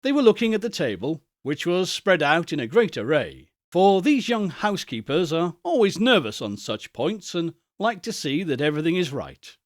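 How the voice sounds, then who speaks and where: A man narrating a classic tale as a storybook reader, in an expressive literary style. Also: a little high, moderate pace, few pauses, dry recording, studio quality.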